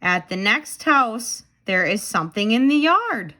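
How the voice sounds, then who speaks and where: A woman's voice: a little high, a little slow. A woman reading a children's storybook aloud expressively, asking questions of young listeners in a dramatic manner. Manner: animated, with dramatic gasps and simple clear phrasing.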